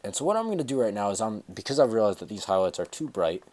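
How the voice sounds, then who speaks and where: A man's voice: on the low side, very animated, fast talker, a little mellow. A teenage man with a stuffy cold, casually talking through a tutorial on a headset, rambling with fillers and self-corrections. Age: teens. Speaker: tutorial host. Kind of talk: casual screen-recorded tutorial. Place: small room at home, indoors.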